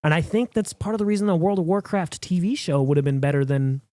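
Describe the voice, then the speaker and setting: A man's voice: a little high, quick pace, warm tone, no echo. A man debating casually as a show panelist, explaining his reasoning at length. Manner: explanatory, reasoning through opinions with comparisons.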